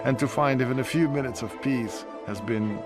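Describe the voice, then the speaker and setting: A man's voice: medium pitch, normal speed, natural voice. An actor playing a wry, cynical Soviet soldier reading his letter home in a video game, with a sardonic manner. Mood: wry, weary. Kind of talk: letter read as game voice-over. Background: music.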